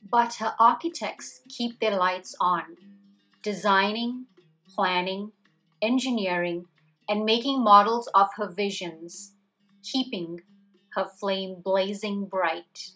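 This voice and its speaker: A woman reading a children's picture book aloud as scripted narration, with measured, deliberate phrasing. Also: medium pitch, a bit bright. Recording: roomy echo, distant mic, background music. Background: music.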